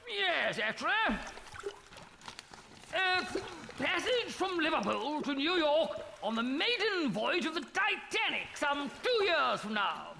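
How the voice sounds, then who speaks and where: An actor playing a pompous, blustering upper-class expedition captain in a live radio comedy. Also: male voice, extremely high pitch, big pitch swings, slow pace, vocal fry, sharp tone, full character voice. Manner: blustering, plummy and self-important. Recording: live radio studio with reverb and faint hiss.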